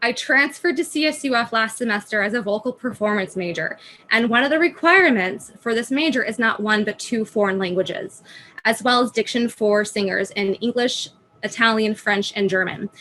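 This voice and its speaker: Woman, student presenter, rhetorical questions, higher voice, faint background noise. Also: moderate pace, bright, forceful tone. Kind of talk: reading a prepared presentation. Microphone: video call microphone.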